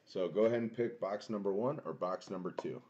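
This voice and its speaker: A young man with a booming voice streaming a card box break from a small home room, chatting casually and greeting viewers by name. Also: brisk, darkish tone, muffled recording. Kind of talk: casual live-stream chat.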